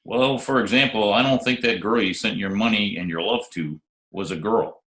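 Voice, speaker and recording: An actor playing a wisecracking, sardonic private detective who also narrates, in a 1940s-style radio drama. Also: male voice, on the low side, moderate pace, a bit bright, close to an everyday voice. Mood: dry. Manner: dry, sardonic. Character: wisecracking hard-boiled private detective, narrating his case. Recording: roomy remote home recording, faint music.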